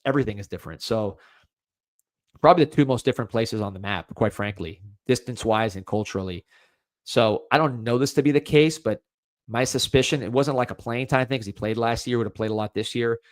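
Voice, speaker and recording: A young man with a faint Cuban-American accent hosts a livestreamed sports show and talks casually. He rambles, restarts his sentences and drops in "man." His voice sits low and sounds dry and close, with no room around it. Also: no echo. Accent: light Cuban-American. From United States. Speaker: podcast host.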